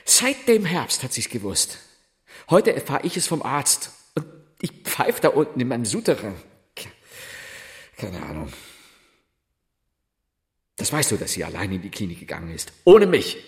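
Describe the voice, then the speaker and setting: A man acting a tormented husband in a literary radio play. His mid-pitched voice swings widely as he broods haltingly, blames himself and breaks into bitterness. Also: very animated, lightly acted. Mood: anguished. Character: tormented, self-reproaching husband, drinking through the night.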